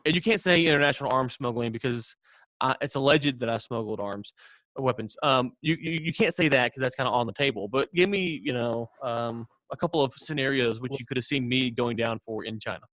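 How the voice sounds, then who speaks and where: An American man co-hosts a podcast in a casual storytelling style. His mid-pitched voice rambles along with mock seriousness and frequent joking. The sound is dry and close, with little room in it. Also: brisk, fairly bright tone, no echo. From United States. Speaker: podcast co-host.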